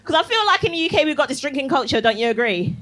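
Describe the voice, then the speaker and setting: A woman's voice: sits high, big pitch swings, racing, fairly bright tone, soft background music. A young woman from Barbados performing a cheeky stand-up set in a comedy club, teasing the crowd and laughing through her lines. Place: comedy club, live audience.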